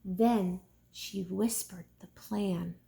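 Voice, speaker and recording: A woman reading a storybook aloud like a teacher, expressive and deliberate for young listeners. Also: medium pitch, vocal fry.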